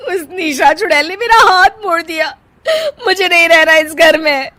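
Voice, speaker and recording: A woman's voice: very high-pitched, normal speed, pushed and bright. A woman voicing a spoiled young daughter-in-law in a family story, whiny, bossy and demanding. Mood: tearful, whining. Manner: whiny, imperious.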